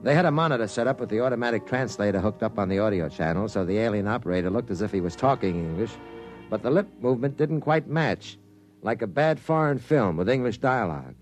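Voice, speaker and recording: A man's voice: lowish voice, animated, fast talker, soft background music, close to an everyday voice. A man playing an earnest, suspicious project member who also narrates in first person, in 1950s radio drama style. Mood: grave, narrating.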